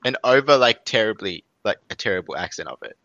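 A man's voice: on the low side, animated, fast, sharp tone, dry recording, dull audio. A young Australian man with an Australian accent, co-hosting a podcast in a laid-back casual chat and laughing easily. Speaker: podcast co-host. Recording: faint background hiss.

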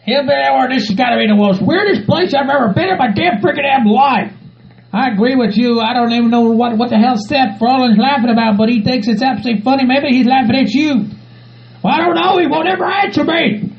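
A man's voice: extremely high pitch, fast. A man playing an agitated, exasperated hillbilly with a Southern US accent, ranting repetitively in a comedy skit. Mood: bewildered. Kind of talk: improvised comedy skit in character voices. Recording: muffled lo-fi recording with faint music.

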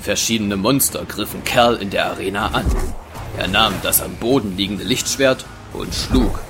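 A man narrating a space adventure audio drama as a serious storyteller, measured and dramatic. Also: on the low side, over music, close to an everyday voice.

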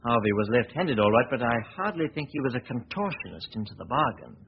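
An actor playing a confident, self-assured writer turned detective, in 1940s radio acting. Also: male voice, medium pitch, sing-song, quick pace. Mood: cool. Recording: dull lo-fi 1940s radio recording with faint hiss.

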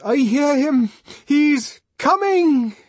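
Hysterical cult worshippers in rapture, shrill and very high. The cries are strained and drawn out, swinging wildly in pitch, as if from an audiobook of a frenzied ritual. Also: male voice, animated, extremely slow, no echo, full character voice. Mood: feigned ecstasy. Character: ecstatic, hysterical cult worshippers, women and men, screaming rapturously.

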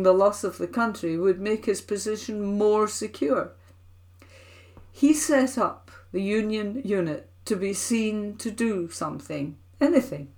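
Woman reading an opinion piece out loud from home, normal mid pitch, volunteer newspaper reader. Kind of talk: read-aloud opinion column.

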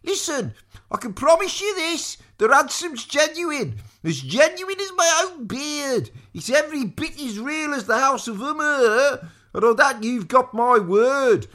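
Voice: sits high, big pitch swings, unhurried, fairly bright tone, voice pushed up, exaggerated voice. A storyteller voicing a disguised squire who bluffs earnestly and emphatically. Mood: earnest bluffing.

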